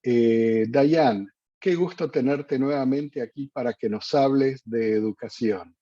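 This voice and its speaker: A man hosting a radio interview in a measured way with frequent fillers. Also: mid-range voice, warm tone, no echo. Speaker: host. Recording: dull dry lo-fi sound.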